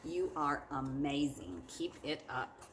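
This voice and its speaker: A woman reading a children's picture book aloud like a teacher, expressive and dramatic, with drawn-out words and hushed moments. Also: low-pitched, a little hiss or hum.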